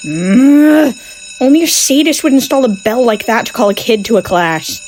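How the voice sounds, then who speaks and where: Lady doing a grumbly sarcastic teen girl, highish voice, swoops around a lot, exclaims. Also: lively intonation, moderate pace, darkish tone, a little hiss or hum, close to an everyday voice, low-quality recording. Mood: sarcastic, incredulous. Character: sardonic, grumbling teenage schoolgirl. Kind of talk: comic fandub voice acting.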